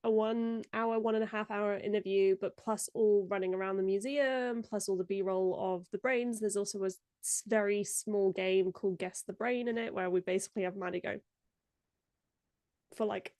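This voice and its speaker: A woman's voice: mid-range voice, fairly even intonation, moderate pace, darkish tone, no echo, clean studio sound. A young woman, a video editor guesting on a live stream, chatting casually and laughing often while sounding stuffed up from a cold. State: congested, stuffed up.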